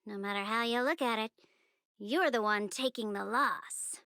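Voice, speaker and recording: Cocky, high-voiced anime game fighter. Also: female voice, normal speed, pushed and bright, dry recording, studio quality. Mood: smug, coaxing. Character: cocky, wry young woman fighter, streetwise and confident. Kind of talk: dubbed anime game voice acting.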